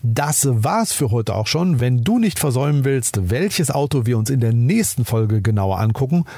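A man's voice: medium pitch, big pitch swings, moderate pace, mellow, dry recording. A man with a German accent hosting a car podcast, chatting enthusiastically and speaking straight to the listener. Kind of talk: casual car review monologue.

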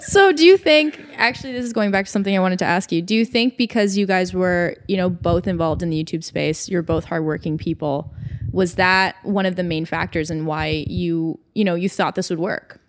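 A woman's voice: fairly low pitch, brisk, a little hiss or hum. A woman co-hosting a podcast, asking questions in a giggly, friendly way. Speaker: podcast co-host. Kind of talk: casual podcast chat.

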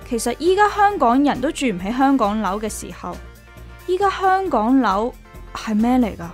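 A woman's voice: a little high, a little mellow. An actress playing a modest, wry woman renter in a radio drama, polite and understated, with a Hong Kong accent. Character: modest, wry young woman renter, narrating her troubles. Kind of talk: radio drama dialogue and inner monologue.

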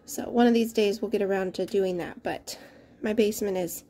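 A woman's voice: medium pitch, steady tone, normal speed, mellow. A young mother casually walking through her family's grocery haul and pantry at home, chatty and sometimes losing her words, recorded on a handheld camera. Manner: chatty, loses words, trails off. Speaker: mother of a large family, vlogger. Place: indoors at home, dining room and pantry. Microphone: handheld camera held at chest height.

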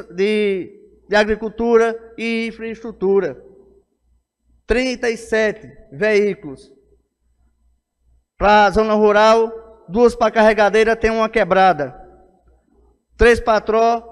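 A man with a Northeastern Brazilian accent addresses a council session in an oratorical, insistent manner. His voice is high for a man and very clean in tone. It rings through the chamber with a long reverb. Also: clean tone. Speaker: city councillor. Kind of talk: political speech in a plenary session. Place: indoor council chamber at a lectern. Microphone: gooseneck podium microphone. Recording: echoey hall with long reverb.

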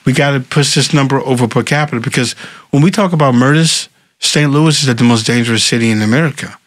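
A man's voice: mid-range voice, fast talker, no echo. Man, thirties, from Philadelphia, emphatic casual talk, dead dry studio, desk mic. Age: 30s. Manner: emphatic, repeats words and says "right?" and "facts". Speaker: politician guest. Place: small studio with brick wall. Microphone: desk mic on boom arm.

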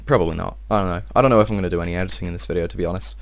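A young man giving casual, excitable let's-play commentary, swearing and talking to himself, his voice a little strained. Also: low-pitched, very fast, gravelly. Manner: excitable, swears often, talks to himself while playing. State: slightly strained voice. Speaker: gameplay video host.

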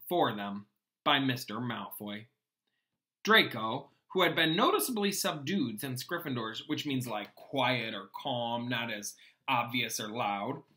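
A young man reading a storybook aloud like a teacher, expressively, with plain explanatory asides. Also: medium pitch. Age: young adult. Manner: expressive, acts out dialogue. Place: small home room, indoors.

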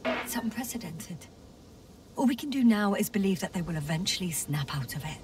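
An actress playing a thoughtful, worried woman scientist exploring space, speaking in a hushed, measured, earnest way in a video game. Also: medium pitch, animated, far from the mic, a little hiss or hum, natural voice. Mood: sad, worried. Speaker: crew scientist. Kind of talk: dramatic game voice acting.

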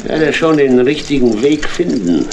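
An actor playing a sly, menacing, imperious cat pirate captain in a children's radio play. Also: male voice, medium pitch, big pitch swings, normal speed. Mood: sly, gloating. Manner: imperious, impatient, gloating. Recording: noisy old audio drama record.

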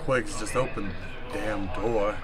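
A man's voice: lowish voice, fairly even intonation, measured pace, over a noisy crowd, lightly acted. A man playing a wry professor with a dry, knowing, mocking manner in an improvised old-time radio play. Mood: urging. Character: wry, sardonic male professor turned amateur sleuth.

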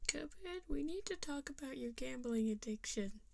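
Woman doing a gentle, high cartoon cup boy. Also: expressive, normal speed, vocal fry, no echo. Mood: concerned.

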